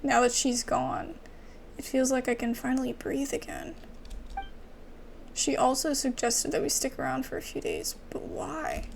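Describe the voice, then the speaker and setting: A woman's voice: high-pitched, steady tone, measured pace, creaky, a little hiss or hum. A woman giving casual gameplay commentary in an informal, slangy way, as a gaming creator. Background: game music.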